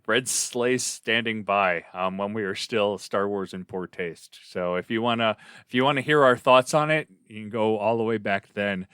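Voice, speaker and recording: A man's voice: fairly low pitch, normal speed, hardly any pauses, a bit bright, clear, resonant tone, no room sound, studio quality. A man co-hosting a fan podcast in casual conversation. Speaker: podcast co-host.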